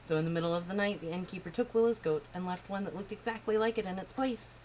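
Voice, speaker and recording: Expressive woman reading a children's story. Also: mid-range voice, fast, a little hiss or hum. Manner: expressive, lilting narration. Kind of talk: storybook read-aloud.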